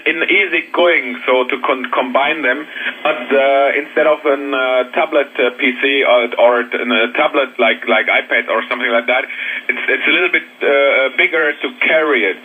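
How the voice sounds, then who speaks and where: A man with a German accent talking haltingly in casual English as an amateur radio operator over a radio transmission. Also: medium pitch, husky. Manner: halting, searching for English words. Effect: shortwave radio transmission. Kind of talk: casual radio contact chat. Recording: narrow, noisy ham radio reception. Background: radio static.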